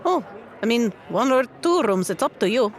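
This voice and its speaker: Woman playing a big, animated, easygoing adventurer. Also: a little high, moderate pace, a faint crowd.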